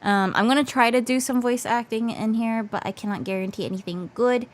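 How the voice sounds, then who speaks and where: Woman streamer with a stuffy nose, slightly hoarse. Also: a little high, fairly quick. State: slightly losing her voice. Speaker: VTuber livestreamer. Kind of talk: casual livestream chat in English mixed with Japanese.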